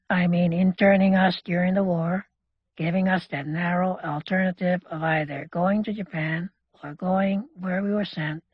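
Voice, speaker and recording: A woman's voice: on the low side, husky, no room sound. A woman, speaking in a reflective way as she recalls personal memories. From Canada. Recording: muffled audio with music behind. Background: music.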